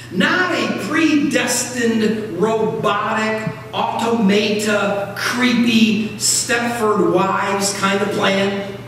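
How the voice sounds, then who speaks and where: A middle-aged American man preaching a sermon as a pastor, phrasing emphatically and deliberately with dramatic pauses. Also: very high-pitched, fairly bright tone. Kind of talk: sermon from a pulpit. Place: large church sanctuary, at pulpit. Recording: very echoey church hall, distant mic, noisy.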